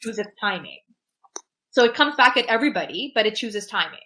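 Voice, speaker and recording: Woman, urdu first language, bright voice, keeps asking "right?", talks things through. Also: medium pitch, lively intonation, fairly quick. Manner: emphatic, repeats "right?" to check in. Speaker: podcast guest, technologist. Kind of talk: reflective conversational advice.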